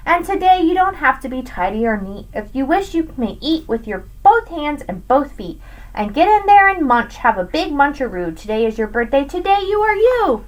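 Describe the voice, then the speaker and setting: A woman's voice: high voice, sing-song, moderate pace, voice pushed up, poor audio. A woman voicing an exuberant, bossy male storybook bird, exclaiming and urging in generous spirit.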